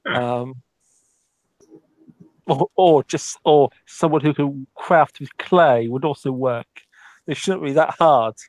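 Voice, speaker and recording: A man's voice: medium pitch, slow pace, long pauses, darkish tone, dry recording, rough recording. Hesitant man roleplaying with dry sound. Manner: hesitant, many ums and restarts. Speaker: tabletop roleplay player. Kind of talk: in-character tabletop roleplay.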